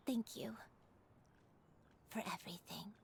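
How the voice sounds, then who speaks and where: A woman voicing a gentle, self-sacrificing grandmother in a video game, speaking tenderly and reassuringly without hurry. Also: mid-range voice, lively intonation, takes it slowly, creaky, breathy edge, whispered, heavily acted. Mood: apologetic.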